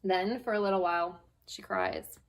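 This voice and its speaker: A woman reading and talking to young children as a guest storyteller, in simple, deliberate phrases. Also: lowish voice, huge pitch swings. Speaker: guest storyteller, school staff member. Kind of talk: children's story read-aloud and advice.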